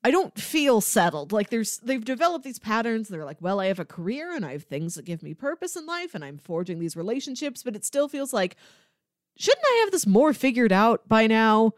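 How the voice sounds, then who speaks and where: A young adult woman co-hosting a podcast, discussing film casually and reflectively in an expressive way. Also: a little high, normal speed, dry recording, clean studio sound. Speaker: podcast co-host.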